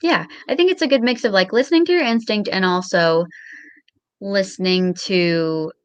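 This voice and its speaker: A woman's voice: mid-range voice, normal speed, smooth, no echo. A young woman chatting casually as an interview guest, with a modest, somewhat hesitant way of talking. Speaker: guest on a show.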